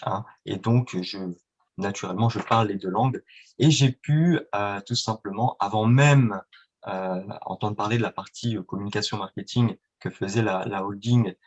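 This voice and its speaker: Hesitant low-voiced man, lo-fi sound. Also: moderate pace. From France and Italy. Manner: hesitant, many fillers and restarts. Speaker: guest, independent trader. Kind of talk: interview answers. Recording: dull lo-fi sound.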